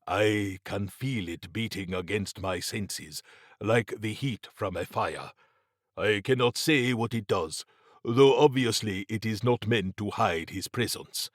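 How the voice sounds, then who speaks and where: A man plays a learned, courteous occult scholar in an audiobook. He speaks low and slowly, with grave formality and a portentous weight, in a dry recording. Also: no echo. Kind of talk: audiobook character dialogue.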